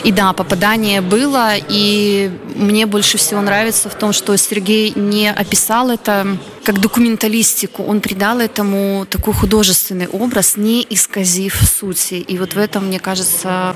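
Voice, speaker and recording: A woman's voice: mid-range voice, level tone, normal speed, faint music behind. A woman artist answering radio interview questions in an earnest, reflective way.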